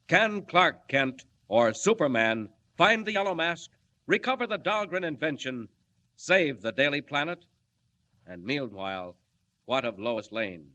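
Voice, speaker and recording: A man's voice: a little high, pushed and bright. A man announcing a 1940s radio serial with urgent, theatrical scripted narration.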